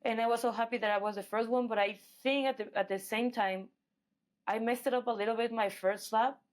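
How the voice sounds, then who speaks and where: A woman's voice: fairly high pitch, fairly even intonation, fairly quick. A woman from Mexico with a Mexican accent, talking casually as an athlete being interviewed. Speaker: guest, professional MMA fighter.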